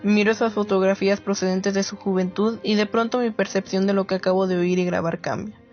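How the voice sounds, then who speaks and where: A woman plays a reflective writer narrating a radio play. Her voice is mid-pitched. It is recorded a bit dully with soft music behind. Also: fairly even intonation, fairly quick, lightly acted. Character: reflective woman writer gathering war memories. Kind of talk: scripted dramatic narration. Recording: muffled lo-fi audio under music.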